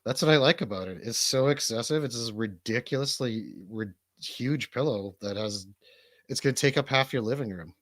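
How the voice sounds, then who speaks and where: A man's voice: mid-range voice, moderate pace, a bit bright, no room sound. A man hosting a livestream, chatting casually in an informal, bantering way. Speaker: livestream host.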